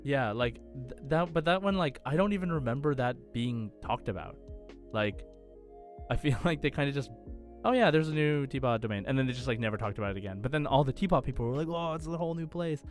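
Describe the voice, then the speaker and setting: A man's voice: medium pitch, lively intonation, fast. Man, mid-range voice, casual gaming chat, enthusiastic and wordy, music underneath. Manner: rambling, enthusiastic. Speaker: podcast co-host and game streamer.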